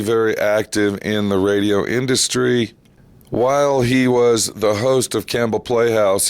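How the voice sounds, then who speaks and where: A man informally introducing vintage radio programs as a host, with a deliberate, emphatic delivery that repeats for emphasis. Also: on the low side, clean tone, no echo. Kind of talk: informal, unscripted spoken introduction.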